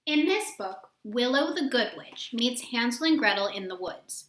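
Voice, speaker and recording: Bright-voiced woman reading a storybook aloud. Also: a little high, normal speed. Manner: expressive, asks listeners questions. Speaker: read-aloud host for children. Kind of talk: children's storybook read-aloud. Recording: roomy echo.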